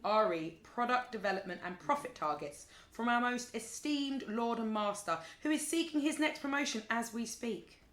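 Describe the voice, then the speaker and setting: An actress playing an exasperated, sarcastic, sweary office colleague in an audio drama, wordy and withering. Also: female voice, on the high side, animated, normal speed, few pauses, fairly bright tone, echoey room. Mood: sardonic. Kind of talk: naturalistic audio drama acting.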